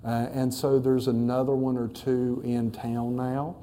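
A man's voice: mid-range voice, level tone, measured pace, dark tone. A middle-aged man, a missionary pastor, talking to a church congregation in a folksy way with many fillers and restarts.

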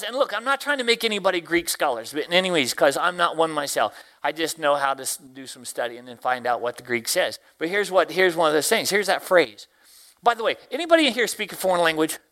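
A middle-aged man from Tennessee preaches in a Southern accent, his voice high and bright. He talks in a folksy, repetitive way and keeps asking the congregation questions. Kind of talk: sermon.

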